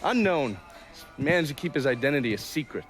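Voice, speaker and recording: Actor as a cocky, sardonic American operative. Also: male voice, fairly high pitch, expressive, brisk, over music, natural voice. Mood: wry, businesslike. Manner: sardonic, breezy, irreverent. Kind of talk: video game cutscene acting.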